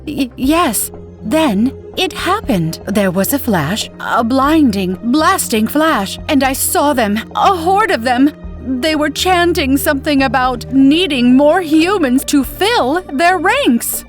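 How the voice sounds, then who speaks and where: Woman playing a high-pitched, haunted lady scientist. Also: animated, faint music behind. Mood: haunted dread. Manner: pleading, halting, dramatic. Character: frightened, insistent woman scientist haunted by otherworldly visions. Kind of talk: old-time radio horror acting.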